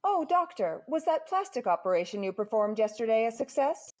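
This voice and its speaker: An actress playing a prim young hospital nurse in a 1940s-style radio comedy, giving crisp deadpan replies. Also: female voice, on the high side, a little fast.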